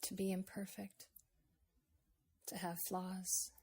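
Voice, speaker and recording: A woman leads a guided meditation in a gentle, unhurried manner, leaving long pauses between phrases. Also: mid-range voice, little pitch movement, breathy edge. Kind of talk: slow guided meditation, with a poem recited.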